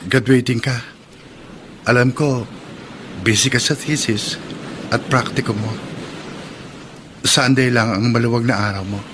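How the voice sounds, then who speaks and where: An actor playing a gentle, eager young suitor in a radio drama. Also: male voice, medium pitch, steady tone, unhurried, long pauses, background noise, natural voice. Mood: easygoing, attentive. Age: young adult. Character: eager young suitor, polite call center worker. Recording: radio drama with clear background ambience.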